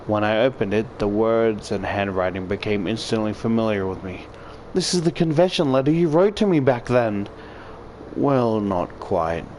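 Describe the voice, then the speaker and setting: A man's voice: on the low side, darkish tone, a little hiss or hum. A man reading a visual novel aloud and adding joking, sweary commentary. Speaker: video creator reading a game aloud.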